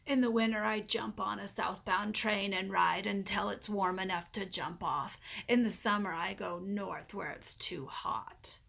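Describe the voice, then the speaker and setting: A woman plays a scruffy storybook hobo man, easygoing and plain-spoken. His laconic lines come out breathy, at times close to a whisper. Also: medium pitch, steady tone, unhurried, hardly any pauses, a bit bright.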